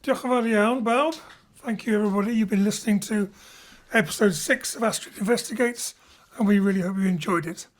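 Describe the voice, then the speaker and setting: Woman, medium pitch, director hosting, reflective rambling questions, informal interview. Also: a little fast. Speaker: director and host. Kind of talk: post-show interview chat.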